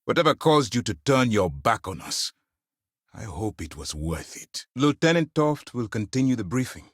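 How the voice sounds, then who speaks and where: A man's voice: fairly low pitch, no echo, clean studio sound. A man playing a stern, principled military commander in a video game, speaking in a clipped, formal, commanding way. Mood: angry, forceful. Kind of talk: scripted game character dialogue.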